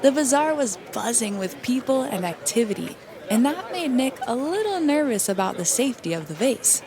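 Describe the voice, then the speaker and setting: A woman's voice: on the high side, sing-song, normal speed, a crowd in the background. A woman narrating a children's storybook as a read-aloud. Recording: clearly noisy background.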